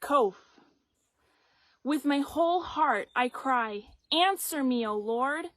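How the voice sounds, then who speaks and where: A woman reading scripture aloud in a measured, deliberate way. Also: high-pitched, expressive, fairly bright tone, no echo, clean studio sound. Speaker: Bible reader.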